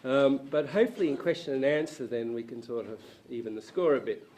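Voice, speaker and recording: Hoarse man giving a talk. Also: medium pitch, fairly quick, a little mellow. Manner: hesitant, frequent ums and restarts. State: hoarse, croaky voice. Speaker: panel speaker, academic. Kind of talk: public lecture talk. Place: university lecture room.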